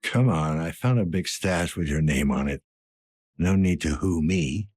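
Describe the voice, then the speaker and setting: An actor playing a weary, gruff older police detective in a cyberpunk video game, with a gravelly, breathy rasp and a terse, dry manner. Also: male voice, low-pitched, unhurried, a little mellow. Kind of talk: scripted game character dialogue.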